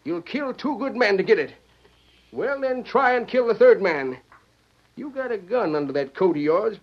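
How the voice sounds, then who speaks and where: An actor playing a stubborn, blustering old American stage line owner in an old-time radio Western. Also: male voice, high voice, lively intonation, normal speed. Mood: furious, defiant. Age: older. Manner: blustering, combative, folksy Western speech. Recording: dull lo-fi vintage radio recording.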